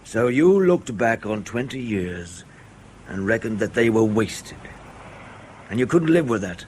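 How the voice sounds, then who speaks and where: A man playing a dogged, controlled intelligence officer in a radio drama, questioning in a measured, pointed way. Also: on the low side, big pitch swings, a little mellow, slight background noise. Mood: probing, grave.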